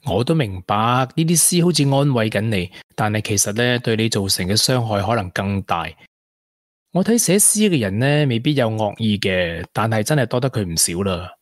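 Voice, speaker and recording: An actor playing a supportive, sensible young boyfriend in a Hong Kong Cantonese radio drama. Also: male voice, on the low side, expressive, normal speed, dry recording, clean studio sound, close to an everyday voice. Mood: understanding.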